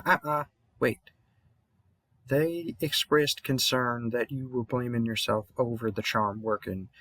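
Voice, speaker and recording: A weary old-guard vampire hunter acted by a man mentors a rookie in an audio roleplay. He has a Southern US accent and a mid-pitched male voice. He rambles slowly and kindly, mumbling a little, in a very dry recording. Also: fairly bright tone, dry recording, heavily acted. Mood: warm, concerned. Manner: rambling, reassuring, slightly mumbling. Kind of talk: roleplay audio drama monologue.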